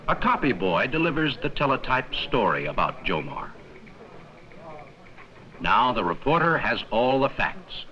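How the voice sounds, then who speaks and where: A man reading scripted 1950s educational film narration in a measured, explanatory manner with simple, plain sentences. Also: medium pitch, very animated, sharp tone, highs cut off. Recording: worn, noisy 1950s 16mm film soundtrack.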